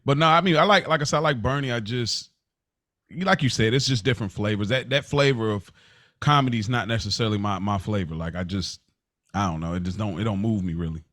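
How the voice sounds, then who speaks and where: Fast-talking low-voiced man, close dry sound. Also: fairly bright tone, dry recording. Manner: slangy, informal. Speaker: podcast co-host and producer. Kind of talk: casual chat.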